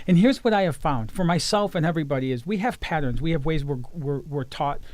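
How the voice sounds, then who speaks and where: An earnest man talking as a life coach in an interview, his voice sitting a little higher than most men's. He often restarts his sentences. The sound is close and dry, with no room echo. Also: brisk, a little mellow. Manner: earnest, repeats words when starting sentences. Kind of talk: casual interview conversation.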